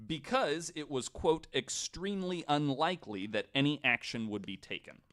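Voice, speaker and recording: A man's voice: fairly high pitch, normal speed, a bit bright. A man casually telling a researched story on a podcast, in an informal, somewhat hesitant way.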